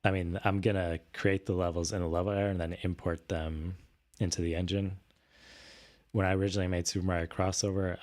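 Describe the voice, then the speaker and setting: Deep-voiced, slightly raspy male streamer. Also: darkish tone. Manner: hesitant, thinking aloud. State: voice tired after recent illness. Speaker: streamer host. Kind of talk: casual livestream chat.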